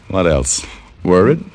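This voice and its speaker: An actor playing a wry, world-weary private detective in a hardboiled old radio drama. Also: male voice, sits low, darkish tone, full character voice. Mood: flirtatious, wry. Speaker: lead actor and narrator.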